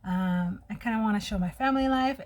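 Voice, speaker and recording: A woman's voice: mid-range voice, fairly quick. Chatty woman with a slight lisp. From United States. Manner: chatty, open and personal. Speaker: vlogger. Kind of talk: casual chat while doing makeup.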